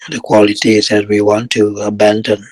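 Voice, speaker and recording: Male meditation guide, lowish voice, flat calm delivery in short measured phrases, close dry sound. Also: no room sound, poor audio. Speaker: meditation teacher leading a guided session.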